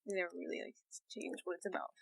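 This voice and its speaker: A young adult woman, a guest artist in a casual video-call chat, speaking in a monotone and filling her sentences with "like". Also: fairly low pitch, quick pace, vocal fry. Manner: monotone, filler-heavy "like".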